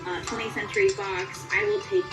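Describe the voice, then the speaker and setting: Woman, voice actor guest. Casual, animated interview talk, mid pitch, roomy and off-mic with music behind. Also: fairly quick, far from the mic.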